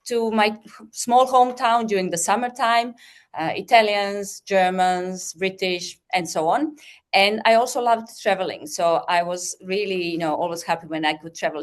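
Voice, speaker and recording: A woman from Croatia who heads a school, introducing herself on a video call and telling her story warmly. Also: mid-range voice. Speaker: head of school. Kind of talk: panel self-introduction.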